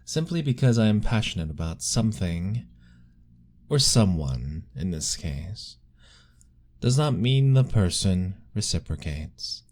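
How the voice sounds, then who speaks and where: A man voicing an ancient, lovestruck male vampire lord in an ASMR roleplay, formal and soft-spoken, pleading gently. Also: low voice, big pitch swings, measured pace. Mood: gentle.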